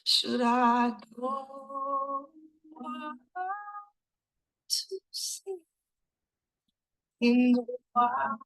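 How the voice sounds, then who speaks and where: A woman's voice: sits high, flat delivery, very slow, long pauses, fairly bright tone, rough recording. A woman singing a song from a music video, played over an online meeting. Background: musical accompaniment.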